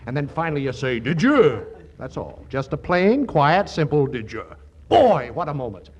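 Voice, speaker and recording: An actor playing a brash, hammy, fast-talking Hollywood screenwriter in a 1940s radio comedy, theatrical one moment and offhand the next. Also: male voice, on the high side, very animated, lo-fi sound. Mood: hammy, dramatic. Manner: theatrical, swinging from grand to offhand.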